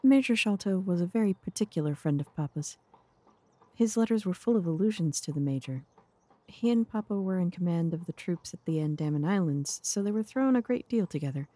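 Woman acting a soft-toned, husky young gentlewoman. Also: fairly low pitch, expressive, brisk, dry recording. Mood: composed, polite. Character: composed, courteous young gentlewoman client. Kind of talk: audio drama acting.

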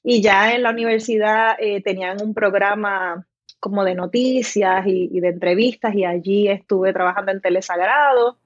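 A woman's voice: medium pitch, steady tone, normal speed, dry recording, highs cut off. A woman from southern Puerto Rico with a Puerto Rican accent, a writer talking as a podcast guest in a friendly, talkative way. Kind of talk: interview conversation.

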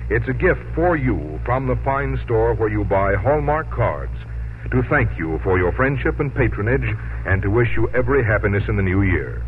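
A man's voice: lowish voice, lively intonation, normal speed, a little hiss or hum. A man reading scripted announcements and sponsor messages as a 1950s radio announcer. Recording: tinny vintage radio, loud music behind.

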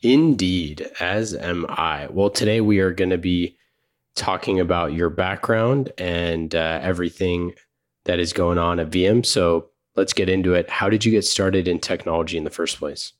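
A man's voice: low-pitched, normal speed. A man hosting a podcast interview, welcoming his guest in an upbeat way. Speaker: podcast host.

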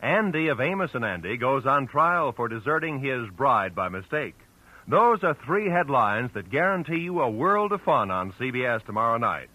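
A man's voice: mid-range voice, sing-song, normal speed, bright, forceful tone, low-quality recording. An American man announcing in punchy, polished 1940s network radio promotional style.

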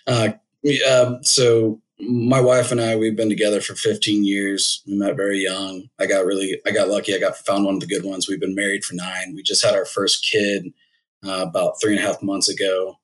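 A man in his thirties, a podcast guest talking about his career in a measured, thoughtful way with many fillers. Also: lowish voice, flat delivery, poor audio. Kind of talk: casual interview.

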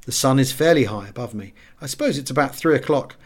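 A man narrating a wartime memoir as a scripted audiobook, measured and expressive, letting phrase endings fall hushed. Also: medium pitch.

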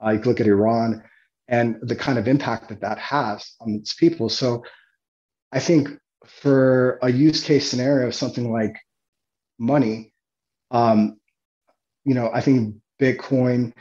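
Male guest, halting, restarts a lot, mellow tone, slightly muffled recording. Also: mid-range voice, fairly even intonation. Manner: halting, many restarts and self-corrections. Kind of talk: casual podcast conversation.

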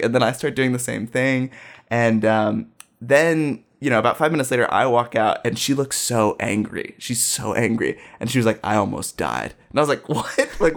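A young man from Ohio talks through a personal story in an easy, unhurried conversational voice. His pitch sits in the middle for a man. He leans heavily on filler and circles back on himself, often poking fun at himself as he goes. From Dayton, Ohio, US. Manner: rambling, lots of filler "like", self-deprecating.

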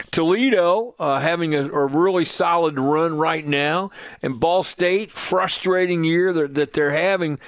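A man with a slightly high voice hosts sports talk radio in a conversational style. The sound is dry and close. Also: dry recording.

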